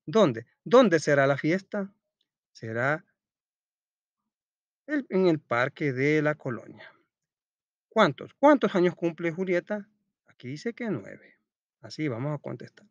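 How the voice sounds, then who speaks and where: Male primary-school teacher, very lively intonation, didactic question-and-answer delivery, recorded dry and slightly dull. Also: on the high side, takes it slowly, pauses often, no room sound. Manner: didactic, asks questions and answers them himself. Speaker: teacher presenting a school textbook lesson. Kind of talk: read-aloud storytelling and step-by-step lesson narration.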